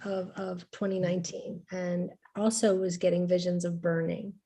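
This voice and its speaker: Woman host, chatty and animated, quick restarts. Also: lowish voice. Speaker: webinar host. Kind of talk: casual webinar chat.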